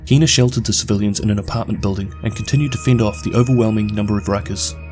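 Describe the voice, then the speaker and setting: A man with a New Zealand accent narrating a scripted lore recap in a brisk, steady delivery. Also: fairly low pitch, soft background music. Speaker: video game lore narrator.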